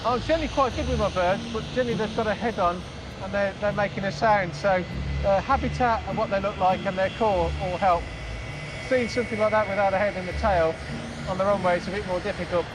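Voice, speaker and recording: A man's voice: sits high, quick pace. A man working as an airport wildlife officer, chatting and thinking aloud with a puzzled manner. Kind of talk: casual work chat. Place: outdoors on an airfield. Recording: outdoor mic with loud aircraft noise.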